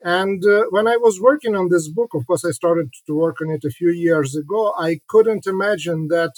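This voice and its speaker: A middle-aged man from Ukraine, a historian and author, answering interview questions haltingly, with many repeats and fillers. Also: high-pitched, dry recording.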